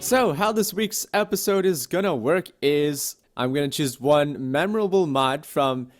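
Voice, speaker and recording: Excitable, swearing gaming YouTuber man. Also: on the high side, measured pace, over loud music. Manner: excitable, profane, joking. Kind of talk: casual gameplay commentary and channel talk.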